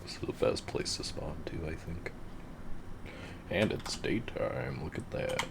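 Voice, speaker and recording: Super deep gravelly dude rambling slow over his game, swears a lot, noisy bg. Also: animated. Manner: laid-back, swearing, rambling. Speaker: gamer narrating his play. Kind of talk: casual gameplay commentary.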